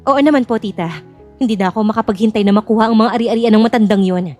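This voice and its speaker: A woman playing a young bride-to-be in an audio drama, polite and composed, with a quiet cunning. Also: mid-range voice, fast, soft background music, lightly acted. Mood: polite, sly.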